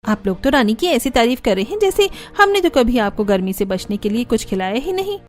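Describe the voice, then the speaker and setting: A woman's voice: a little high, big pitch swings, brisk. A woman voicing jealous, scheming young daughters-in-law in a cartoon, with a taunting, indignant manner.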